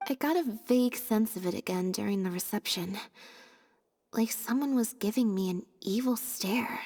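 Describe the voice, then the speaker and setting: A woman playing a reserved young female stage assistant in a video game, speaking in a deadpan, understated way. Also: mid-range voice, normal speed, in a large hall, close to an everyday voice. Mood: subdued.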